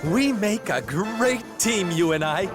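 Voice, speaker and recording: A man's voice: high-pitched, lively intonation, slow pace, music in the background. A man playing a flamboyant, big-hearted showman in a video game, theatrical and effusive. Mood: upbeat, affectionate.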